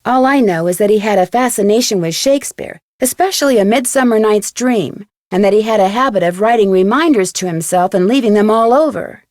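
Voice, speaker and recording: A woman's voice: mid-range voice, a little fast, hardly any pauses, darkish tone, no echo, clean studio sound, natural voice. A woman voicing an inquisitive, determined teenage American girl detective in a video game, earnest and conversational. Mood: matter-of-fact.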